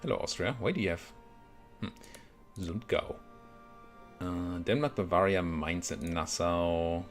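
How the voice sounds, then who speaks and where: A man's voice: low-pitched, unhurried, faint music behind. A man giving casual let's play commentary on a strategy game, thinking aloud and muttering to himself as he goes. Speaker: video game streamer playing a strategy game.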